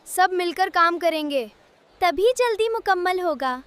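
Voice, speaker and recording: A woman's voice: extremely high pitch, animated, a bit bright. A woman voicing a cheerful, helpful young boy vegetable in a children's cartoon, with an earnest, encouraging manner. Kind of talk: children's cartoon moral story dialogue.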